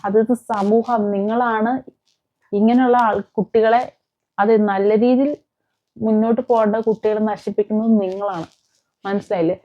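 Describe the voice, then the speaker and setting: A woman's voice: mid-range voice, fairly even intonation, moderate pace, pauses often, dull audio. A woman giving emphatic, opinionated commentary on crime as a vlog host, repeating phrases and posing rhetorical questions. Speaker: vlogger, host.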